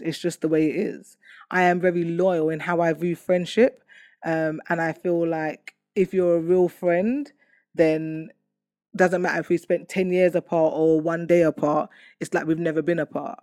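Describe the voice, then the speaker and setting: A woman's voice: on the low side, fairly even intonation, normal speed, dry recording, studio quality. A woman giving a personal testimony, talking candidly and reflectively with many fillers and restarts. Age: adult. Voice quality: loud voice. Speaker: testimony speaker.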